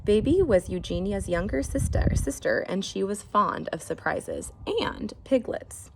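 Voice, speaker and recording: A woman reads a story aloud like a teacher, with a medium-pitched voice that turns gravelly at times. Her delivery is expressive and deliberate, broken by casual asides. There is faint background noise. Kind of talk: read-aloud picture book with casual asides.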